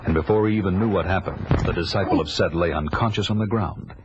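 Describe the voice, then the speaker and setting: Man acting as a deep-voiced vengeful barbarian warrior. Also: quick pace, background noise, lightly acted. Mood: grave, narrating. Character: vengeful barbarian warrior narrating his own quest. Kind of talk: scripted first-person audio drama narration. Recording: music behind the voice.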